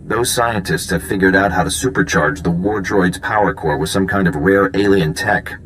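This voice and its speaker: Casting: a matter-of-fact intelligence agent, slightly low, urgent and wry, game dialogue with faint ambience. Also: male voice, moderate pace, a bit bright, slight background noise, poor audio. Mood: focused, matter-of-fact. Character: brisk, wry male secret intelligence agent. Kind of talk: video game voice acting.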